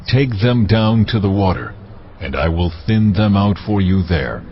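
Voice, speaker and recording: A man's voice: sits low, lively intonation, a little hiss or hum. An actor playing God in a dramatised audio Bible, a solemn male deity who speaks with weight and command. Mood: stern command. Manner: slow, weighty, deliberate delivery. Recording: muffled audio under music.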